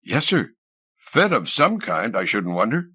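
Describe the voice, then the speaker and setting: A man's voice: fairly low pitch, very animated, measured pace, sharp tone, husky, exaggerated voice. A man voicing a plain-spoken, deferential ship's steward in an audiobook, answering in a respectful, matter-of-fact way.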